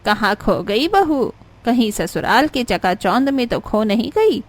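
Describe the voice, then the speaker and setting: A woman plays a modern, wealthy mother-in-law from a cartoon story. Her fairly high voice is haughty and boastful, full of snobbish disdain. Music sits behind her. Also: very animated, measured pace, heavily acted. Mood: boastful, proud. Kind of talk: cartoon story dialogue acting.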